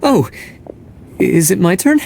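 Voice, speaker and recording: A man's voice: sits high, very animated, takes it slowly, vocal fry, a little mellow, slight background noise, full character voice. An actor playing a timid, meticulous male civil servant in a video game, hesitant and pedantic. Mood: nervous.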